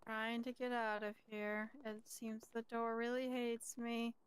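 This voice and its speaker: A woman plays a suspect in an improvised game role-play. Her voice is high and beautifully clear, with a flat, unhurried delivery. She stays deadpan and nonchalant as the confessed criminal. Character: self-confessed male criminal, cheerfully accepting blame. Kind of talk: improvised in-character game dialogue.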